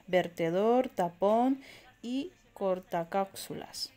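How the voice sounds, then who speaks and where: A woman from walking through a supermarket aisle, filming on a handheld phone and reading out prices and sizes in a steady listing way. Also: medium pitch, slow pace. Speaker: shopping vlogger. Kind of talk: walk-through product rundown. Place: indoor discount supermarket aisle. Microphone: handheld phone camera, filming while browsing.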